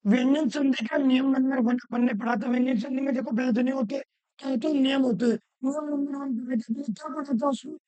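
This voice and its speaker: Woman, hoarse from a cold, grammar teacher, high pitch, repeats rules loudly, dry recording. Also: fairly even intonation, a little fast, no room sound. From Rajasthan. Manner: asks questions and answers them herself, repeats rules loudly. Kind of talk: classroom-style lesson.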